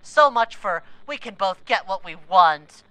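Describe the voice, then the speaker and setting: An actress playing an embattled, sarcastic moon base officer in an audio drama, indignant and combative. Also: female voice, medium pitch, expressive, a little slow, pushed and bright, clear, resonant tone, no echo, low-quality recording. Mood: exasperated, sarcastic.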